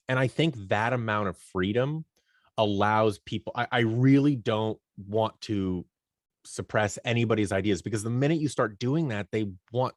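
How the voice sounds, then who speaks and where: A man speaks as an interview guest in a fairly low voice. His delivery is informal and conversational, explaining things through bits of imagined dialogue. The sound is dry, with hardly any room to it. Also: no echo. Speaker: guest, audio drama producer and company owner.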